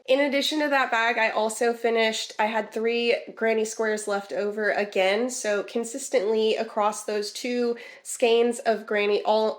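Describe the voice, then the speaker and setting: A woman, chatty and relaxed, giving crochet project updates in a vlog; she sounds congested and a little nasal from a sinus infection. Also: medium pitch. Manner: chatty, relaxed, many ums and restarts. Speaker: crochet vlogger. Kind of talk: casual vlog chat.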